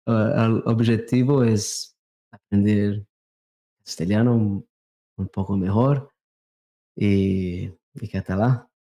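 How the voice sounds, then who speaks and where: A man in his thirties, a native Portuguese speaker with a non-native accent, chatting haltingly as an interview guest and searching for words. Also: lowish voice, fairly even intonation, dark tone. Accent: non-native, Portuguese-influenced Spanish. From Brazil, raised in England from thirteen. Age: 30s. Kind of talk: casual interview chat.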